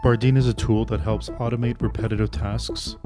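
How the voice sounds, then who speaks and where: A man's voice: mid-range voice, level tone, fairly quick, over music. A man hosting a podcast interview, reading a polite scripted introduction and then asking short questions. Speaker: podcast host, editor.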